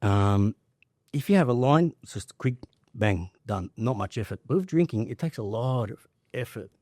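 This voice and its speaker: A man chatting and telling stories casually as a podcast host, blunt and sweary with an excitable edge. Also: mid-range voice, normal speed, no echo, clean studio sound. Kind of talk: casual chat, storytelling.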